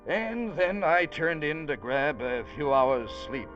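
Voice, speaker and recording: A man's voice: a little high, sing-song, slow, full character voice. An actor playing an earnest ship's officer, telling his story in dramatic 1940s radio drama style. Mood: reflective. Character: earnest ship's officer recounting a harrowing sea rescue. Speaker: first-person narrator. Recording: dull vintage radio recording with loud music.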